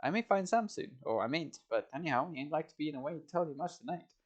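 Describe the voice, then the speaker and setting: Folksy Cockney working guy, friendly and talky, pitched a bit up, a man doing the voice. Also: expressive, quick pace. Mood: chatty. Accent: Cockney put on. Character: working-class London male workman, affable and chatty.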